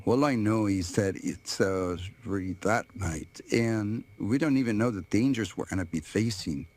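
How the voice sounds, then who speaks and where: Low-ish calm ai guy reading narration. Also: normal speed.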